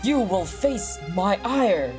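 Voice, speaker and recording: A woman's voice: mid-range voice, very animated, slow pace, over music, pitched above the performer's own voice. A woman playing a wrathful, unyielding harvest goddess in a visual novel, with grand, defiant, formal delivery. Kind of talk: visual novel character read aloud.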